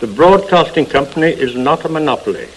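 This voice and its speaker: An actor playing a formal, measured 1920s broadcasting spokesman giving a prepared radio address. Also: fairly high pitch, lively intonation, slight background noise, lightly acted, rough recording. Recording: clear music behind the voice.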